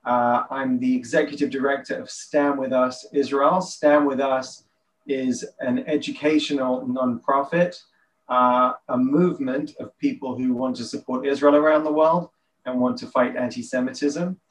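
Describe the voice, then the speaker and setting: A man's voice: mid-range voice, normal speed, slight background noise. Man, distant on the mic. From United Kingdom. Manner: articulate, steady, with filler "uh". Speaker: guest, nonprofit director. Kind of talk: interview answer.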